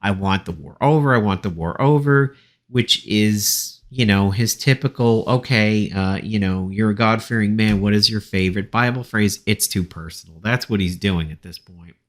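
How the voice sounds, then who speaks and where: A man with a fairly low voice delivers informal political commentary. He works through his notes haltingly, with an opinionated, dismissive way of putting things. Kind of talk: informal debate recap from notes.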